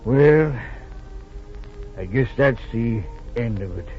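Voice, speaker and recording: An actor playing a plain-spoken, puzzled ranch hand in a 1940s radio drama, folksy and hesitant. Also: male voice, medium pitch, lively intonation, slow, soft background music. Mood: subdued. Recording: muffled, noisy old radio recording.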